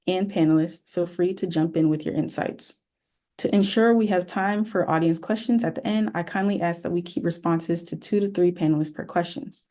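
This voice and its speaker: A woman moderates a university panel, reading her script in a measured, even way. Her voice is mellow and a little low. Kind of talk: reading introductions and questions. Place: university event room.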